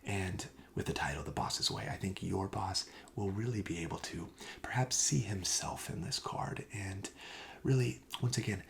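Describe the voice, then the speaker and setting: A man speaking softly in a breathy half-whisper, playing a gentle, polite shop clerk in an ASMR roleplay. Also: low-pitched, normal speed. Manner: gentle, polite, attentive salesman.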